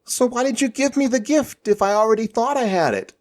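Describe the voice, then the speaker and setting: A man's voice: very high-pitched, expressive, darkish tone, voice pushed up. A man voicing an earnest, determined young boy who speaks insistently in an audio story. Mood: questioning. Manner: earnest, insistent, quick bursts. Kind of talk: audio fiction character voice.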